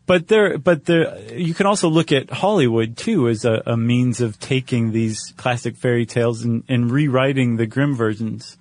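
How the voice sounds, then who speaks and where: A man's voice: mid-range voice, moderate pace, few pauses, a little mellow, dry recording. An American man co-hosting a podcast, explaining things in an informal, conversational way. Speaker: podcast co-host.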